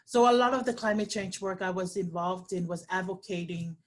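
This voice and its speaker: A woman from Samoa, a guest on a webinar interview over a video call, talking earnestly with many pauses and restarts. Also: lowish voice, a little hiss or hum.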